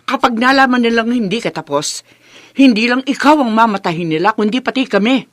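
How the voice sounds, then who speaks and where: A woman's voice: a little high, lively intonation, brisk, no room sound, clean studio sound, lightly acted. A Filipino woman acting a stern, status-conscious, middle-aged mother who scolds and commands her son in a scripted drama. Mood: scolding.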